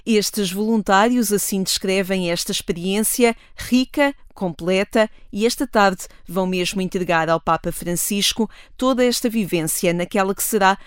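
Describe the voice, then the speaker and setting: A reporter reads a scripted news piece in a measured, formal manner. The voice is smooth and resonant, recorded dry and close, with no room sound. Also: mid-range voice, no echo. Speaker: radio reporter.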